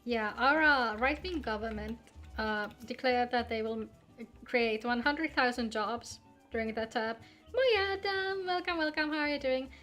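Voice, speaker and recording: A woman's voice: on the high side, measured pace, a bit bright. A woman chatting casually on a live game stream, with music playing behind her voice. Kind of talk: casual livestream chat mixed with reading game dialogue aloud. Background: background music.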